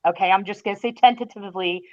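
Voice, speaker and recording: A woman giving her review as a podcast guest, speaking in casual discussion with measured, emphatic phrasing. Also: medium pitch, highs cut off.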